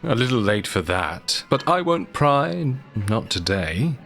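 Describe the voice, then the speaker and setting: An actor playing a suave, self-assured, teasing man in an audio drama, talking in a wry, drawling, smooth way. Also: mid-range voice, very animated, slow, fairly bright tone, soft background music, full character voice.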